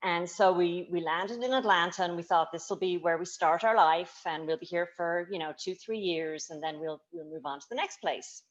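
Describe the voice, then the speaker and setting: A woman's voice: fairly low pitch, normal speed, few pauses, lo-fi sound. A woman from the south of Ireland with an Irish accent, telling her story with light humour in an interview. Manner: easy, lightly humorous storytelling. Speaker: guest, travel company co-founder.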